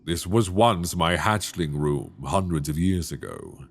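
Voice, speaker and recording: A man voicing a possessive, teasing dragon king in human form in an ASMR audio roleplay, speaking slowly and intimately into a binaural mic. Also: big pitch swings, a little hiss or hum, full character voice. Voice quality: deep, gravelly. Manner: slow, intimate, teasing, soft-spoken.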